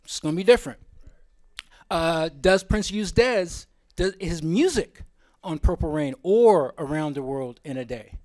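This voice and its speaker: Guy presenting at a symposium, voice a bit on the high side, informal, opinionated, goes off on tangents. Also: expressive, measured pace, darkish tone.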